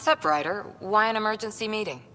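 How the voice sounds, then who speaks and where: An actress playing an outspoken, sardonic crewmate of space explorers in a video game cutscene, talking casually. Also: female voice, fast talker, pushed and bright, natural voice. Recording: reverberant room, faint rumbling noise.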